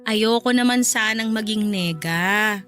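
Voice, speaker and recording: A woman's voice: medium pitch, unhurried, a bit bright, faint music behind, close to an everyday voice. A woman playing a jealous, insecure young wife in an emotional, argumentative radio drama scene. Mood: fearful, pleading. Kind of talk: radio drama dialogue acting.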